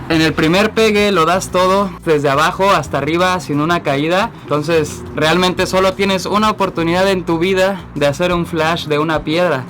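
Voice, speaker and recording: A man's voice: a little high, fairly bright tone. A man from Mexico explaining a climbing move outdoors in casual talk. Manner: playful, explains climbing terms. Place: outdoors in a forest.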